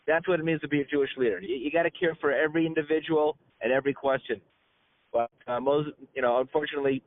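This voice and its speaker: A man's voice: mid-range voice, dry recording. A man giving an informal religious class as a rabbi, telling stories conversationally with stammered repetitions and colloquial asides, over a conference call. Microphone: telephone conference call. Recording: tinny, narrow-band phone line.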